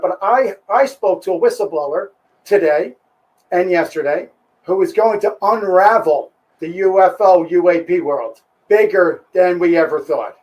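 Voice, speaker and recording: High-voiced excitable male host. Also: low-quality recording. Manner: excitable, chimes in over his guest. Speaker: show host. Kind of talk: casual political chat.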